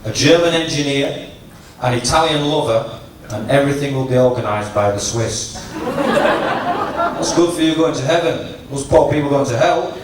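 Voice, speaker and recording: A man giving a humorous after-dinner tribute speech to an audience, in a teasing, deliberate storytelling manner. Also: on the high side, big pitch swings. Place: large function room at a dinner, distant microphone. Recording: echoey noisy hall, from far off.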